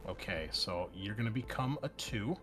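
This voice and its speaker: A man's voice: on the low side, lively intonation, normal speed, a bit bright. A man acting as game master, narrating scenes and explaining rules in a tabletop roleplaying game. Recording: background music under the voice. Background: music.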